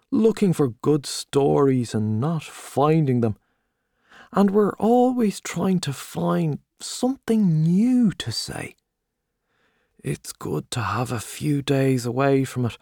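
A man voicing a boastful, hearty Irish journalist, talking loudly and expansively over drinks. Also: medium pitch, gravelly, dry recording, clean studio sound, exaggerated voice. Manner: loud, expansive, back-slapping.

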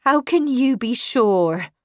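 A woman's voice: fairly high pitch, animated, slow, dry recording, voice pushed up. A woman voicing a troubled, kindly confidante in a storybook narration, doubting and protective, with an earnest, questioning manner.

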